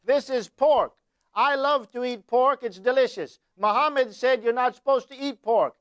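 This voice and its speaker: Insistent high-voiced male TV host. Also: normal speed, fairly bright tone, no room sound. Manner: insistent, preacherly, quotes scripture. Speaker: TV show host. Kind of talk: live TV call-in debate. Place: TV studio.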